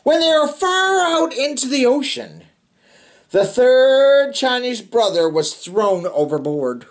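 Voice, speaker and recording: Kids book reader, really animated pitch, slow, playful and chatty w the story. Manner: theatrical, playful, reacts to the story. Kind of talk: expressive read-aloud with chatty asides.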